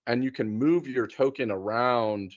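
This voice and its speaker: A man talks slowly and casually, halting a little as he finds his words. His pitch is average, and the sound is dry and somewhat muffled. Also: no echo. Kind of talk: casual chat.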